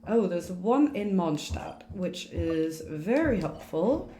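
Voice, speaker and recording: Young woman streamer rambling casually, kinda low mellow voice, echoey room. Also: big pitch swings, slow. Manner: rambling, informal, self-interrupting. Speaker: game streamer. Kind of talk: casual livestream chat while gaming. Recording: roomy echo, faint noise.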